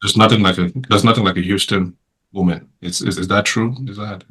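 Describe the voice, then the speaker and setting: A man's voice: low voice, steady tone, brisk, fairly bright tone, husky. A man hosting a casual podcast panel, teasing his guests with playful questions. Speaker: podcast host.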